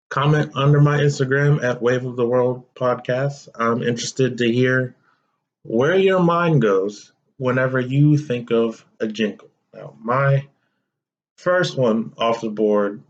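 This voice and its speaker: A young man hosting his own podcast in a casual, relaxed, conversational way. He talks straight to his listeners. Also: medium pitch, muffled recording. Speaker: podcast host. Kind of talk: casual solo podcast talk.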